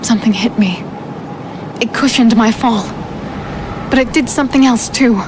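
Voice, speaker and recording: A woman's voice: on the high side, normal speed, noisy background, lightly acted. A woman playing a defiant human fighter, telling her ordeal in first person as dramatic fantasy storytelling. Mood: uneasy, reflective. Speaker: narrator and heroine.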